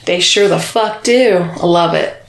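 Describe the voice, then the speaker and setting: A woman's voice: fairly low pitch, a little slow, gravelly, roomy sound, rough recording. A woman co-hosting a podcast in casual chat, giggly and profane as she banters. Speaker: podcast co-host.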